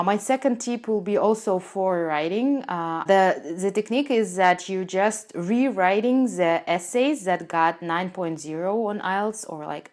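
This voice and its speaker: A woman's voice: fairly low pitch, darkish tone. A woman in her twenties whose first language is Russian, giving earnest step-by-step study tips to camera, with frequent "uh" fillers, recorded on a lapel mic in a small room. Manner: earnest, explains step by step with non-native phrasing and frequent "uh" fillers. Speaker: IELTS teacher and channel host. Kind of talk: casual to-camera tips video. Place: small indoor room with a door behind.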